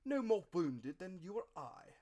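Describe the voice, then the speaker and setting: A man's voice: high voice, very animated, a little slow, warm tone, pitched above the performer's own voice, exaggerated voice. A man voicing a stern, scornful country doctor in a storybook reading, with a blunt, authoritative and emphatic delivery. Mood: brisk, scornful.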